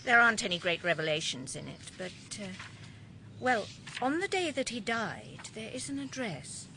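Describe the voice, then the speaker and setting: A woman's voice: a little high, very animated, moderate pace, background noise. A woman playing a composed, perceptive policeman's widow in a radio drama, direct and articulate. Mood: candid, restrained. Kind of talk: British radio drama acting.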